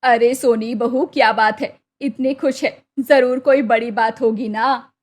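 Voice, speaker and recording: A woman's voice: on the high side, fairly even intonation, measured pace, pitched below the performer's own voice. A woman voicing an older village mother-in-law in a cartoon story, blunt, doubting and questioning. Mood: curious. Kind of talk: Hindi cartoon moral story acting.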